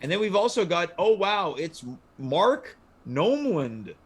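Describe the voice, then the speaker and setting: A man's voice: sits high, sing-song, measured pace, husky. A man hosting a casual livestream chat show, steering the conversation. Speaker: show host.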